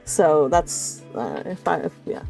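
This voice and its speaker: A woman's voice: sits low, lively intonation, measured pace, creaky, darkish tone, faint music behind. A woman, not a native English speaker, reading her own fan fiction aloud and then chatting about it, often laughing and restarting her sentences. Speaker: fanfic author reading her own story. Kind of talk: story reading and casual chat.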